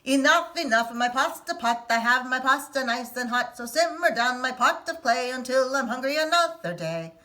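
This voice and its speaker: A woman voicing a boastful, clumsy young male village helper in a read-aloud storybook, proclaiming loudly. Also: sits high, moderate pace, hardly any pauses. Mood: singsong. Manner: loud, excited proclaiming.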